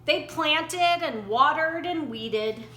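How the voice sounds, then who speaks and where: A woman storyteller reading a children's picture book aloud in an animated way. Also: sits high, slow pace. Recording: roomy echo, faint background noise.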